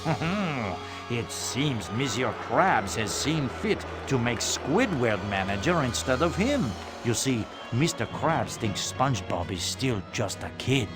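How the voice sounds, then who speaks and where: A man playing a grandiose, dryly amused storybook narrator for a cartoon game, florid and deliberate. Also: mid-range voice, big pitch swings. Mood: storytelling, dramatic. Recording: music playing behind the voice.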